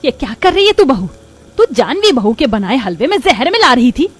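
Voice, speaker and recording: A woman's voice: high-pitched, very animated, fairly quick, husky, exaggerated voice. A woman voicing a stern, scolding Indian mother-in-law in a dramatic Hindi moral story cartoon. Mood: stern, indignant. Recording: music behind the voice. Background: background music.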